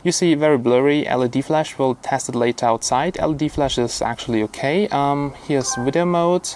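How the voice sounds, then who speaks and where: A man's voice: mid-range voice, moderate pace, darkish tone, a little hiss or hum. A man giving a casual, unscripted product review, speaking bluntly and informally and often repeating himself as he goes. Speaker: gadget reviewer, host.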